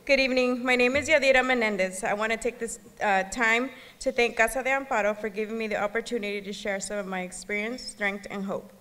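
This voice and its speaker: Woman, 30s, from El Salvador, earnest halting speech at a podium, long hall reverb. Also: on the high side, steady tone, fairly bright tone, slight background noise. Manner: earnest, halting, occasional restarts. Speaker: gala speaker. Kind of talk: personal testimony speech. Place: gala banquet hall.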